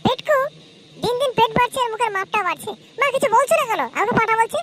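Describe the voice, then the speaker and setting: High-pitched cheeky cartoon boy. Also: quick pace, heavy background noise. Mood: brash, insolent. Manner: brash, quarrelsome, whining. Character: cheeky, foul-mouthed, lovelorn young son in a comedy cartoon. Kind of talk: comedy cartoon dialogue.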